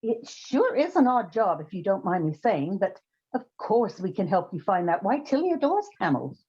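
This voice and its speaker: An actress playing a brisk, wry woman who runs an odd job agency in an old-time radio comedy. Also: mid-range voice, big pitch swings, mellow, husky. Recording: slightly dull, muffled sound.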